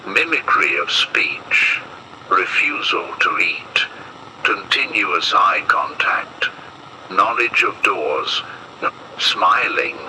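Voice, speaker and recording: A man reading a scripted emergency bulletin as horror narration, in a solemn, measured and ominous manner. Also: deep voice, background noise.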